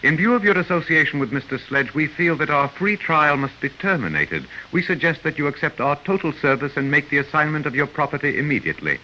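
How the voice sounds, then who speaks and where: Relentless humanoid machine, piercingly bright tone, measured courtesy, no emotion, vintage radio play with faint hiss. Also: male voice, on the high side, big pitch swings, dull audio, heavily acted. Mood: polite menace. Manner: formal, measured, emotionless politeness. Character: coldly polite humanoid robot servant, relentless and controlling. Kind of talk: 1950s American radio drama acting. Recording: hissy old radio recording.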